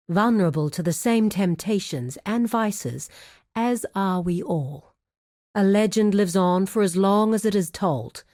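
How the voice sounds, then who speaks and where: A woman playing a composed, shrewd criminal guild woman in a video game, guarded and wry, speaking in a measured, formal, articulate way. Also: fairly low pitch, warm tone. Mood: composed, knowing. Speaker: video game quest-giver character. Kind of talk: scripted video game dialogue.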